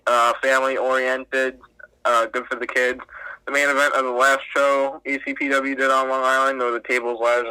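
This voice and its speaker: Bright, crisp-voiced young man, chatty. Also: mid-range voice, no room sound, poor audio. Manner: chatty, many fillers like "like". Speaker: wrestling referee, guest. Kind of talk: casual interview answers.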